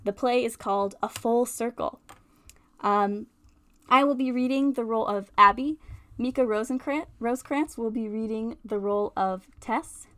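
A woman radio host reading out a show introduction in an informal, somewhat halting way. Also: a little high, a bit bright.

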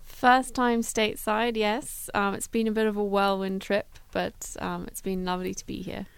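A woman answers interview questions in a flat, steady tone. Her voice is smooth and clear, with a gravelly edge of vocal fry. It is recorded dry and close in a studio. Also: medium pitch, moderate pace, no room sound. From Zimbabwe. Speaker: guest, travel philanthropy worker.

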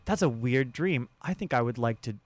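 A man speaking conversationally as an interview guest, halting and often restarting his phrases. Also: medium pitch, expressive, no room sound. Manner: halting, with repeated words and restarts. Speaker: sound designer and guest.

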